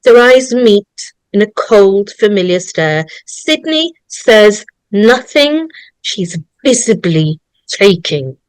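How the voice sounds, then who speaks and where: A woman reading scripted stage directions as narrator, deliberate and storytelling. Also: medium pitch, big pitch swings, clear, resonant tone, no echo, rough recording.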